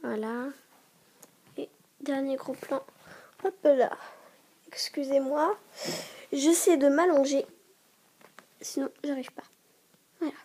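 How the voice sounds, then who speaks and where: Stuffy-voiced woman vlogging, hurried. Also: high voice, fairly even intonation, mellow. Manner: hurried, rambling, often drops to a whisper. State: stuffy voice from a cold. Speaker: vlogger showing a toy dollhouse. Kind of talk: casual show-and-tell vlog. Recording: clear background noise and clicks.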